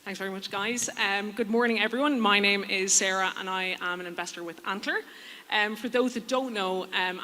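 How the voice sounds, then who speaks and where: Bright-voiced woman panelist in a hall. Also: medium pitch, a little hiss or hum. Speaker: panelist, venture capital investor. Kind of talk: conversational panel introduction. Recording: reverberant hall sound.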